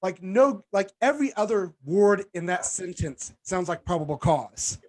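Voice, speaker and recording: Male stand-up comic, high voice, self-deprecating, punchy delivery. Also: animated, moderate pace, darkish tone. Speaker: stand-up comedian. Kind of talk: stand-up set.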